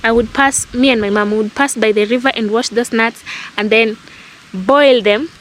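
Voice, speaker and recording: A young woman with a Kenyan accent tells stories to her viewers in a relaxed, reflective vlog. Her voice sits a little high, and there is a faint hiss under the recording. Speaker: vlogger. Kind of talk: casual storytelling to camera.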